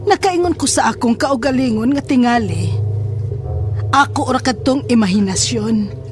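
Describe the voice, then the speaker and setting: Frightened schoolgirl, acted by a woman, high voice, horror storytelling, music behind. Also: moderate pace, lightly acted. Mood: uneasy narrating. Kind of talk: horror audio drama, first-person narration. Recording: music under the voice.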